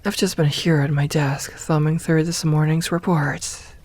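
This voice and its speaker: Soft-spoken, commanding lamia queen, acted by a woman. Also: sits low, flat delivery. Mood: teasing, doting. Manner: soft-spoken, breathy murmurs slipping toward whisper, unhurried, formal. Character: evil lamia queen, doting, commanding, teasing mistress of an empire. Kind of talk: ASMR roleplay, intimate personal attention.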